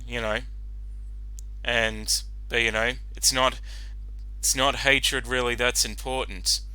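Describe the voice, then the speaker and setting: A man gives casual reaction commentary. His voice is very bright and clear, and he rambles slowly with frequent stops. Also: mid-range voice, flat delivery, no room sound, low-quality recording. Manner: halting, rambling, repeats you know. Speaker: reaction commentator and fan-fiction author.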